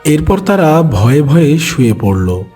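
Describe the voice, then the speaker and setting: A man's voice: fairly high pitch, big pitch swings, a little slow, warm tone, breathy edge. Male cartoon storyteller over light music. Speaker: narrator. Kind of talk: scripted children's story narration.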